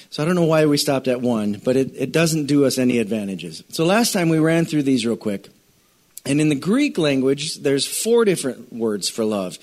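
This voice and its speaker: A middle-aged man delivers a sermon in a loose, conversational way, full of fillers and restarts. His voice sits a bit high for a man and leaps up when he gets animated. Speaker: pastor. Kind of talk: sermon teaching.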